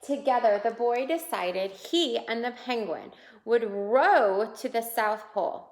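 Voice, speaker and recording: A woman reading a picture book aloud to young children in an expressive way, pausing to point out the pictures. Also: a little high. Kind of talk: children's read-aloud storytelling. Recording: roomy, echoing indoor sound.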